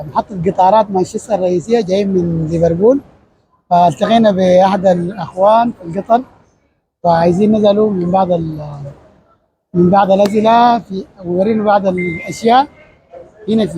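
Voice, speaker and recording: A quick-speaking man from Sudan with a high, mellow voice interviews strangers in a station. He talks in friendly, halting bursts and restarts often. His tone stays soft and dark even as he rushes. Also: a little hiss or hum, rough recording. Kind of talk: casual street interview. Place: busy train station.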